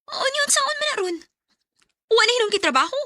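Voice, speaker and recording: An actress with a Cebuano Filipino accent playing a bossy, sharp-tongued young businesswoman in a radio drama. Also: extremely high pitch, very animated, brisk, no room sound, exaggerated voice. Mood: scolding.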